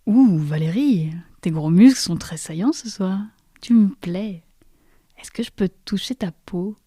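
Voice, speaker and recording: A woman acting a languid, flirtatious dancer in a French audio drama, with a breathy, husky voice. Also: medium pitch, a little slow, mellow, no room sound, studio quality. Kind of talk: French fiction podcast acting.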